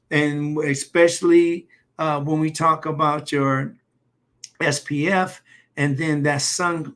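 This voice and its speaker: A man, a doctor, hosting a live health stream in a loose, halting way with many fillers and light jokes. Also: on the high side, fairly bright tone. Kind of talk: casual livestream talk.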